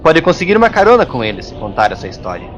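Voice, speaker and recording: A man with a Brazilian accent playing a gruff, insulting hermit monk with magic powers in an audio story. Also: medium pitch, wildly swooping pitch, quick pace, fairly bright tone, muffled recording, a little hiss or hum. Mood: gruff, conceding. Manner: gruff, scornful, exasperated. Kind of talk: audio drama acting.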